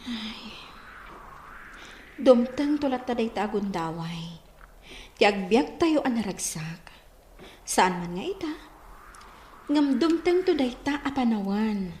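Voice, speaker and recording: A woman's voice: a little high, very animated, slow pace, long pauses, mellow, a little hiss or hum. An actress playing a concerned, loyal village woman in a radio drama. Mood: gentle, consoling. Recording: echoey, with music underneath.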